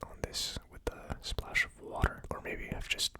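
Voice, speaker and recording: A host giving a whispered ASMR tasting commentary in a breathy, unvoiced whisper, musing aloud in a slow, tentative way. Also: pushed and bright. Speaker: whiskey tasting video host.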